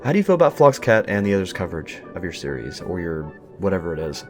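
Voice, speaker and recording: Quick-talking man hosting an interview. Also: sits low, sing-song. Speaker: talk show host, interviewer. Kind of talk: casual in-character interview. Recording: music under the voice.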